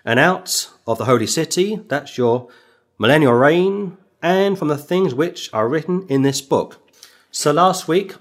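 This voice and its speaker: A man preaching a sermon, quoting scripture in a raised voice and dropping low for personal asides.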